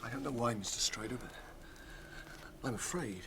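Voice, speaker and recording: An actor playing a wary, loyal hobbit servant in a radio drama, plain-spoken and homely. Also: male voice, mid-range voice, a little slow, bright, forceful tone, a little hiss or hum, rough recording. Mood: wistful, uneasy.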